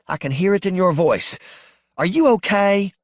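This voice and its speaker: A man's voice: sits high, animated, moderate pace, no echo, studio quality, voice pushed up. A man voicing an anxious, fretful young wife in an audiobook, with plaintive, folksy rural speech. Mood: frightened, pleading. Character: anxious, wounded-hearted young Southern wife, pleading and fretful.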